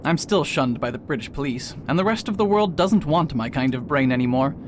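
A man's voice: on the high side, fairly quick, natural voice. A man playing a pompous faded old detective. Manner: pompous, hesitant with "uh" fillers. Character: proud, faded older male detective, pompous. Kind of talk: amateur radio drama acting. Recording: noisy recording with steady hiss.

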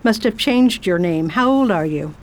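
A woman's voice: mid-range voice, expressive, normal speed, natural voice. A woman playing a commanding spinster travel writer. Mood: stern. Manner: commanding, crisp, condescending. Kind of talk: amateur radio drama acting.

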